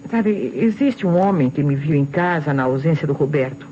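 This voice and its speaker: An actress playing an agitated, defensive wife under police suspicion, with a Brazilian accent, in an old radio detective drama. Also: female voice, sits low, lively intonation, moderate pace, mellow. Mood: pleading, agitated. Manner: emphatic, protesting. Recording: dull old radio recording, faint noise.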